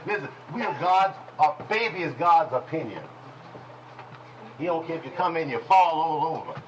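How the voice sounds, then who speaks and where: A man teaching a congregation in a preaching style, folksy and call-and-response, with speech, dropping at times to low murmurs. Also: lively intonation, normal speed, a little hiss or hum, rough recording. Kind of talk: preaching Bible lesson. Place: congregation hall.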